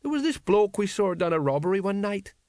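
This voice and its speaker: A man's voice: sits high, sing-song, brisk, a little mellow, dry recording, clean studio sound, voice pushed up. A man voicing an older male city watch sergeant who talks sadly and rambles through stories. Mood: mournful. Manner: sad, rambling, tells stories.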